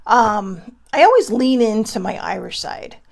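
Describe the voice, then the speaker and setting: A woman from Hawaii hosts a casual vlog and speaks directly to her audience. She wanders from thought to thought, restarting sentences and leaning on little fillers. Her mid-pitched voice has a gravelly, creaky texture. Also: expressive, a little slow. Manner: chatty, meandering, many fillers and restarts. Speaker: vlog host. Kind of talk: casual rambling monologue to viewers.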